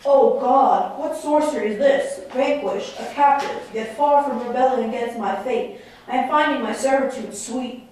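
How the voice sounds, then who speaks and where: A gallant young prince, played by a woman speaks courtly, florid lines in a stage play. The voice is fairly high and sits far from the mic in a very echoey room. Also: normal speed, darkish tone, slight background noise, lightly acted. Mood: lovestruck. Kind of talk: theatrical stage dialogue.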